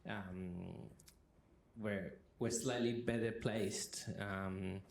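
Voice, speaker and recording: A man's voice: fairly low pitch, steady tone, drawn-out words, vocal fry. A man interviewing a guest before a university audience, talking hesitantly with many restarts. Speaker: interviewer, history professor. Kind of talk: moderating a public interview.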